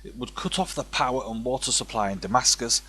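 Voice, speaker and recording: Eager cult follower, shrewd, bright forceful tone, medium pitch, matter-of-fact, audio drama. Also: male voice, a little fast, slightly rough. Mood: shrewd agreement.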